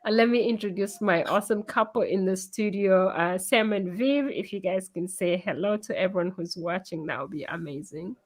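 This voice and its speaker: Hoarse, giggly woman hosting a live stream. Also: mid-range voice, normal speed, hardly any pauses. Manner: giggly, chatty, many fillers. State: hoarse from illness. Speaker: interview host. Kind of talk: casual live-stream chat. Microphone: computer mic on a video call.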